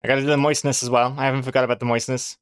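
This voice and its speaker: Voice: mid-range voice, little pitch movement, rapid-fire, clean tone. A comic artist from New Zealand with a mixed, not quite New Zealand accent, chatting casually with livestream viewers and thinking aloud in a rambling way.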